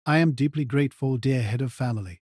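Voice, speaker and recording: Guy doing a stoic hired mage in an audio drama, formal, respectful, flat delivery. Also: mid-range voice, fast talker, slightly rough, dry recording, studio quality, close to an everyday voice. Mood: formal, guarded. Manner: expressionless, formal. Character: reserved male mercenary mage, formally deferential. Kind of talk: light novel audio drama character reading.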